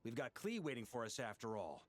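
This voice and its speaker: A man's voice: mid-range voice, very animated, a little fast, soft background music, close to an everyday voice. A man voicing an easygoing young adventurer in a video game, a caring and self-effacing comrade with a casual manner. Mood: gentle concern. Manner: casual, reassuring.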